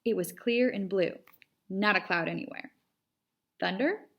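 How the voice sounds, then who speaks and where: A woman's voice: mid-range voice, normal speed, dull audio. A woman reading a children's storybook aloud as a narrator, like a teacher to pupils.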